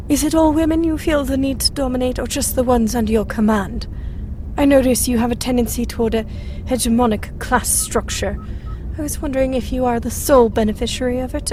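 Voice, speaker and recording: A woman's voice: fairly high pitch, a little mellow, lightly acted. A woman playing a cool, probing half-Vulcan ship's counselor in a sci-fi audio drama, polite, clinical and needling.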